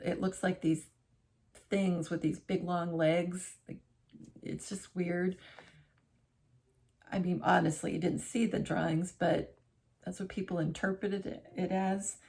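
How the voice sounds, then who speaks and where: A woman's voice: on the low side, level tone, unhurried, gravelly, darkish tone. A middle-aged woman vlogging from her living room armchair, chatting casually to camera in a rambling way, often restarting and correcting herself. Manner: rambling, self-correcting, conversational.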